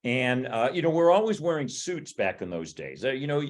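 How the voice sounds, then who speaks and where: A man's voice: mid-range voice, very animated, normal speed. A man from California, a podcast guest, telling rambling anecdotes in casual chat, with many restarts and fillers.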